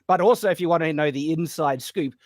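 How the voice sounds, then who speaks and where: A young man with an Australian accent, slightly Americanized, chatting as a guest on a panel show and telling stories with lively emphasis. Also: fairly high pitch, fast talker, no echo, studio quality. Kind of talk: casual chat.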